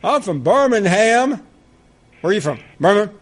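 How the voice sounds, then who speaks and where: Middle-aged Southern male radio host, slightly high voice, lively jokey banter. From American South. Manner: quick, animated, jokey banter with callers. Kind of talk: sports talk radio.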